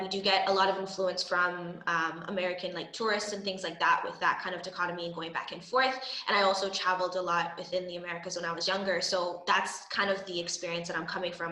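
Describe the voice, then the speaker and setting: A young woman from the Bahamas speaking thoughtfully on a discussion panel, pausing often with "um". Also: on the low side, little pitch movement, bright, forceful tone, echoey room. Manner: thoughtful, hesitant with frequent ums.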